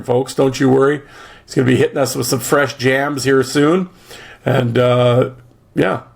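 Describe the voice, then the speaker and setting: A man hosting his own podcast in a casual, rambling solo chat, joking around with lots of fillers and clearing his throat because he is losing his voice. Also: medium pitch, darkish tone. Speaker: podcast host.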